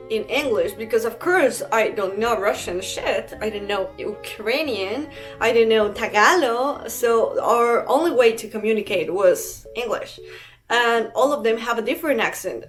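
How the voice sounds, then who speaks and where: A young woman from Ecuador with an Ecuadorian Spanish accent, telling a rambling casual storytime vlog full of fillers and restarts. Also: fairly high pitch. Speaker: vlogger.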